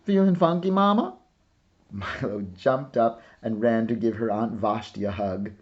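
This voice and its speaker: A man narrating a children's picture book with measured, deliberate storytelling. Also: fairly low pitch, steady tone, lo-fi sound. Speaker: storybook reader.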